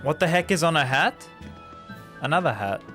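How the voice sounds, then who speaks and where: A man's voice: a little high, expressive, moderate pace, bright, forceful tone, faint music behind. A man streaming a game with casual, chatty, jokey live commentary.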